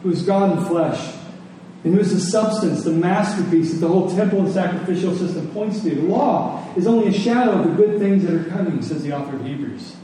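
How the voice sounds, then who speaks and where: A man's voice: sits high, animated, fairly quick, warm tone. A middle-aged man preaching a sermon in an earnest, conversational way, repeating phrases for emphasis. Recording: echoey room, distant mic, faint noise.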